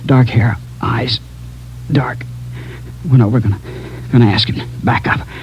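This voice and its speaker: A gravelly, gasping voice: a shot traffic cop dying in a radio crime drama. He repeats broken fragments through the pain, and the old recording is dull with a faint hum. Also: mid-range voice, fairly even intonation, measured pace. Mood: delirious.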